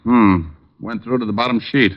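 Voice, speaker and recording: An actor playing a quick-witted, wisecracking private detective in an old radio drama. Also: male voice, fairly low pitch, natural voice. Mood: reasoning. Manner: sardonic, wisecracking, smooth reasoning. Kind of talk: 1940s-50s radio detective drama acting. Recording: muffled old-time radio recording.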